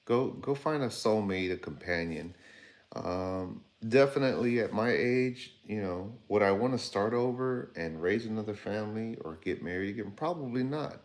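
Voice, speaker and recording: A man's voice: lowish voice, expressive, moderate pace. A man in his fifties hosting a casual livestream, rambling conversationally and talking to viewers by name. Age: 50s. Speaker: livestream host. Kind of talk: casual livestream chat reading viewer comments.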